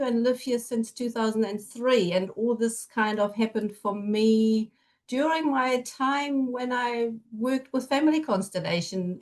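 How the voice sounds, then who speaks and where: Middle-aged woman with German accent. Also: on the high side. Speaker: podcast guest, family constellation facilitator. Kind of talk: casual chat, personal storytelling.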